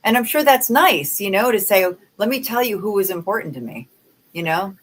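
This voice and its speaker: A woman's voice: medium pitch, animated, normal speed, husky. A woman whose first language is Dutch, hosting a casual podcast chat, agreeable and eager, with frequent filler words. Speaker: podcast host.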